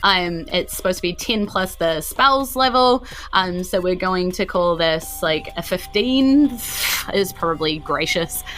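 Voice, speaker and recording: A woman narrating a tabletop game as game master, explaining haltingly and acting out small effects. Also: fairly low pitch, animated, fairly bright tone, faint music behind.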